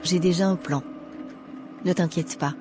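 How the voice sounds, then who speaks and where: A woman's voice: fairly low pitch, fairly even intonation, a little slow, lightly acted. Woman as insistent young girlfriend, faint music. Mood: coaxing. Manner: insistent, coaxing. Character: pushy young woman in love, impatient girlfriend.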